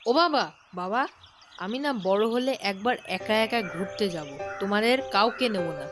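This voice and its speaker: A woman's voice: mid-range voice, lively intonation, a little slow, over music, lightly acted. A woman playing a whiny, eager young schoolboy in an audio story drama.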